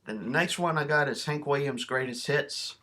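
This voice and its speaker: Bright-voiced man, casual vlogger. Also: medium pitch, moderate pace. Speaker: video host showing a cassette collection. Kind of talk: casual show-and-tell vlog.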